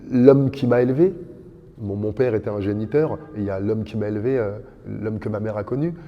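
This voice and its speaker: A man's voice: fairly low pitch, fairly quick, big-room sound, muffled recording. A man in his fifties, a podcast guest telling his life story in an earnest, reflective way, piling up lists with rising emphasis. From born in Dakar, raised in France. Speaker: podcast guest, kickboxing champion.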